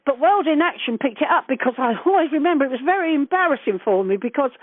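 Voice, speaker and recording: Older female, high-pitched, plain-spoken and talkative, recalling old times down a dry, narrow phone line. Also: animated, fast talker, dry recording. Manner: chatty, earthy and blunt, swears casually. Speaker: interviewee recalling past events. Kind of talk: casual phone reminiscence. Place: on a phone call. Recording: narrowband telephone call, dry.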